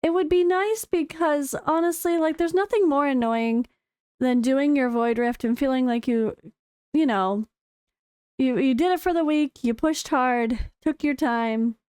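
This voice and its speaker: High, slightly hoarse American woman gamer. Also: unhurried, dry recording, studio quality. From United States. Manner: chatty and excitable, with frequent fillers. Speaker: gaming content creator. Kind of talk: casual commentary reading developer notes.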